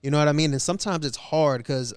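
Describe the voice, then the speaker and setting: A man's voice: on the high side, fast, smooth, dry recording. Laid-back male podcast host, dry. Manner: laid-back, repeats fillers like "you know what I mean". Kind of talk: casual interview chat.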